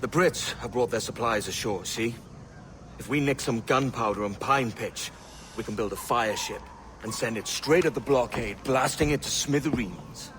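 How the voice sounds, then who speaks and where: A man acts a shrewd pirate in a game cutscene. His voice is medium in pitch, with plain, colloquial, decisive delivery, and there is clear background noise. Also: moderate pace, a bit bright, lightly acted. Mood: steady, plotting. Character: shrewd, steady male pirate leader, plotting schemer. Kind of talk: video game character acting. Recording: noisy outdoor ambience behind voice.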